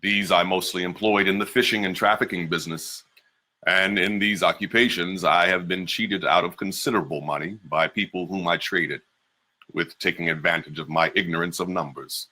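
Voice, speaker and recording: A man giving a measured, deliberate dramatic reading of an old first-person memoir. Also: low voice, fairly even intonation, bright, forceful tone. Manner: measured, deliberate delivery with slowed emphases. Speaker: reader.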